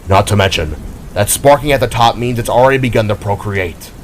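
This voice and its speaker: A man's voice: on the low side, a little fast, a little hiss or hum, lightly acted, rough recording. A man voicing a stern, knowledgeable older brother, a glowing energy warrior in an audio drama, speaking in a grave, explanatory way. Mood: grave, explaining.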